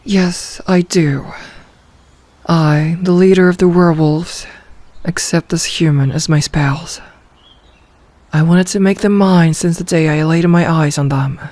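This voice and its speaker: Woman voicing a female werewolf pack leader, possessive, commanding, slow measured delivery, dry close ASMR roleplay sound. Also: on the low side, steady tone, mellow, dry recording, natural voice. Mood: possessive, proud.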